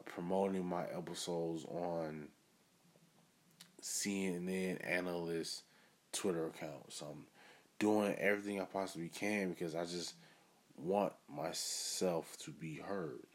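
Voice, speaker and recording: A man's voice: deep voice, slow pace. A man hosting his own podcast, giving an earnest, self-assured motivational monologue. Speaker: podcast host.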